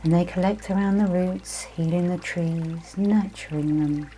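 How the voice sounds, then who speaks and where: A woman leading a guided meditation and visualization in a gentle, soothing, unhurried way, with many pauses. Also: on the low side, steady tone. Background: soft music with trickling, dripping water.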